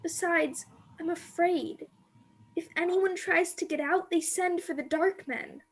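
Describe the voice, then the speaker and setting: A woman's voice: very high voice, a little slow. A woman acting a timid, sorrowful young captive maid in a radio play, plaintive and naive, recorded over a video call. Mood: timid, fearful.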